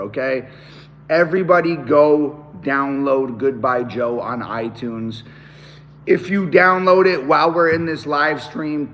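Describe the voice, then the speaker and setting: A man with a hoarse, worn-out voice, chatting excitedly to viewers on a live stream. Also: medium pitch, big-room sound, a little hiss or hum. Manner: excited, talks straight to viewers. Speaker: musician hosting a live stream. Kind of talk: casual live-stream chat.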